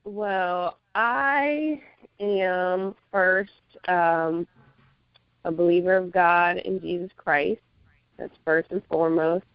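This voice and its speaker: A woman from Miami, Florida, a magazine publisher, talks in a relaxed, easygoing way, laughing often and pausing with fillers. Her voice is smooth and clear. The recording is dry and a little dull. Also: on the low side, dry recording. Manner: laid-back, laughs often, hesitant with fillers. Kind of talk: interview answers.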